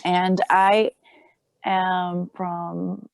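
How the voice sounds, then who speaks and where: A woman's voice: lowish voice, flat delivery, very slow, gravelly, clean tone, dry recording. A woman from Minnesota, a home visitor, briefly introducing herself on a video call. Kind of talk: self-introduction. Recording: dry call audio.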